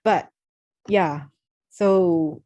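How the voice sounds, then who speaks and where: A woman's voice: lowish voice, very slow, mellow. A woman with a Singaporean accent speaking reflectively as a panel moderator on a video call, hesitantly thinking aloud.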